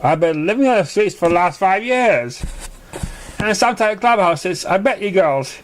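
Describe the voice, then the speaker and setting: Anxious little filly, played by a male reader, pitched up, sorry and pleading, for a storybook. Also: animated, moderate pace. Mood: confessing, sheepish. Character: anxious, apologetic young girl pony.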